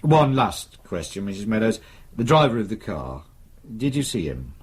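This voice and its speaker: An actor playing a patient, probing British police superintendent in a radio crime drama, with measured, courteous questioning. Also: male voice, on the low side, sing-song, husky. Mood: patient, insistent.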